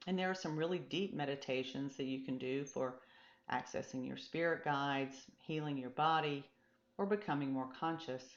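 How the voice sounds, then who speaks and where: Woman voicing a calm, low-voiced meditation guide, roomy sound. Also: lo-fi sound. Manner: gentle, reassuring, instructive. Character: calm, encouraging, patient meditation teacher and guide. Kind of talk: storybook character dialogue.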